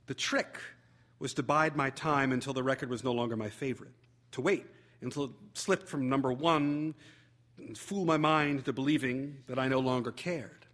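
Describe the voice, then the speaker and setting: A man reading a humorous memoir aloud as theatrical narration, wry and expressive with deliberate phrasing. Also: mid-range voice. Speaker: actor reading a short story.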